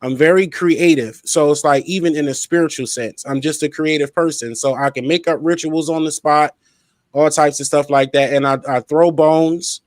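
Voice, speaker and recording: A man's voice: on the high side, fairly even intonation, normal speed, no echo. An outspoken, playful man hosting a casual interview and talking about himself. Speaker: interviewer, show host.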